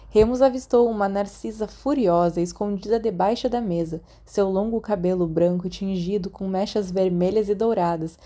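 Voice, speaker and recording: A Brazilian woman narrates a work of fiction. Her voice is mid-pitched and distinctly mellow. She reads from a script in the manner of an audiobook.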